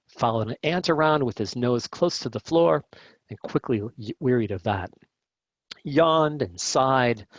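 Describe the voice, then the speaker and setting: A man reading a classic story aloud as a narrator, in measured, deliberate short phrases. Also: medium pitch, no echo, muffled recording. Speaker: volunteer audiobook reader.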